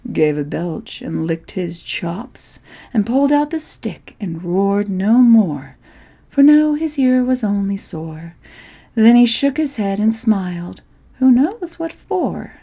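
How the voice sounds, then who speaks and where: Slow-paced woman reading rhyming storybook verse. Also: mid-range voice, dark tone. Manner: measured, playful, theatrical delivery. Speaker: poetry reader.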